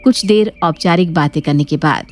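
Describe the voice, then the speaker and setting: A woman's voice: sits low, moderate pace, no room sound. A woman reading scripted story narration. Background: background music.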